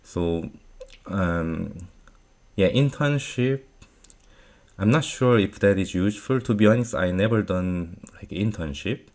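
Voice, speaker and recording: A Korean-born man, Korean his first language, talks in a very low, deep voice. He speaks slowly and hesitantly, pausing on many fillers as he answers viewer questions. His grammar is loose and non-native. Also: a little mellow. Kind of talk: unscripted Q&A advice talk.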